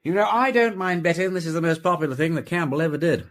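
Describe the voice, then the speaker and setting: An actor playing a breezy, curious gentleman sleuth with an upper-class English accent and jaunty old-fashioned slang, in a radio drama. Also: fairly high pitch, lively intonation, quick pace, lightly acted.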